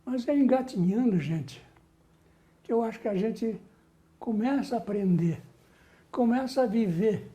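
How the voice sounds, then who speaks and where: Older man, halting and sentimental. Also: sits high, lively intonation, mellow. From Rio Grande do Sul, Brazil. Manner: halting, repeats words, affectionate and sentimental. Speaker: guest, veteran musician and bandleader. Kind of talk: conversational interview.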